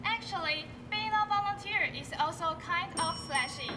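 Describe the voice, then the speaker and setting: A young woman in a student stage skit, reciting sales-pitch lines. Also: normal speed. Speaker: student performer. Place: large school auditorium stage. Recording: distant, noisy hall recording.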